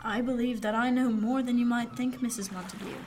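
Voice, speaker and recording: A woman playing a self-possessed, formal seafaring man in a period radio drama, speaking with deliberate care. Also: on the high side, fairly even intonation, darkish tone, a little hiss or hum, close to an everyday voice. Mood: calm, candid.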